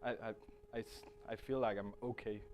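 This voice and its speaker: A man's voice: lowish voice, fairly even intonation, normal speed, a little mellow, slight background noise. A man from Denmark in his thirties with a Danish accent, giving a presentation at a conference with many hesitant restarts. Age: 30s. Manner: hesitant, frequent restarts and fillers. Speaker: guest speaker.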